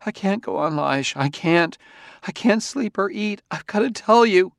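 A man's voice: sits high, moderate pace, dry recording, studio quality, pitched above the performer's own voice, natural voice. A man voicing a frightened, guilt-ridden wife in an audiobook, with breathless, tearful, emotional pleading. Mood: anguished, sobbing. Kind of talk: audiobook character acting.

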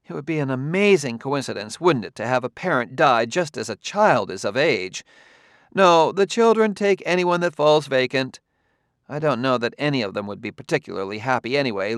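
A man reading an audiobook, voicing a brisk, matter-of-fact woman who runs a children's farm, easily exasperated. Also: mid-range voice, fairly bright tone, no room sound, studio quality. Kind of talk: audiobook character voice.

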